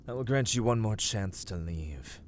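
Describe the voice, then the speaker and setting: A man playing an ancient vampire lord in a dark fantasy ASMR roleplay, imperious and coldly courteous, with theatrical, archaic phrasing that often falls into whispers. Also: fairly low pitch, expressive, normal speed, a little hiss or hum, natural voice. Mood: grave, commanding. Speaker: voice actor in audio roleplay.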